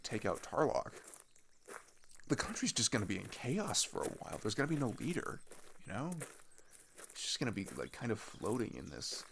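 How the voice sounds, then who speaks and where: A man's voice: fairly low pitch, gravelly, fairly bright tone, a little hiss or hum, full character voice. A young man playing an earnest, frustrated teenage boy who rambles with hesitant restarts in a casual audio roleplay. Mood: worried, earnest. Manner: rambling, exasperated, hesitant restarts and filler words. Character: earnest, frustrated teenage male migrant venting about politics.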